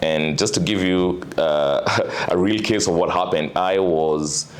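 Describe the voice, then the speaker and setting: A man in his thirties, an investor chatting casually as an interview guest, relaxed and full of fillers. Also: deep voice, measured pace, slight background noise. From Kenya. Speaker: venture capital investor, guest.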